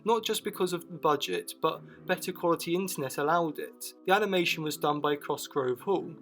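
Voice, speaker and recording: Guy narrating a video essay from a script, highish voice, bit of music under it. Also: brisk, a bit bright.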